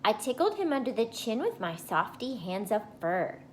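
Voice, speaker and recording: A woman reading a children's storybook aloud in expressive narration. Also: mid-range voice, normal speed, a little hiss or hum. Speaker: storybook reader.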